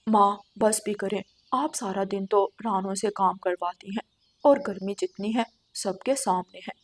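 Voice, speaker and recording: A woman's voice: mid-range voice, a little slow, natural voice. A woman voicing a caring, protective young husband sparrow who speaks earnestly in a cartoon story. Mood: protective, pleading.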